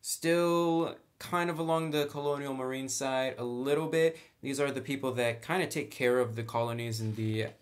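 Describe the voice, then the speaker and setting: A man's voice: medium pitch, moderate pace, hardly any pauses. A man hosting a casual tabletop game tutorial, talking in a chatty, informal, slangy way. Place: indoors at a tabletop.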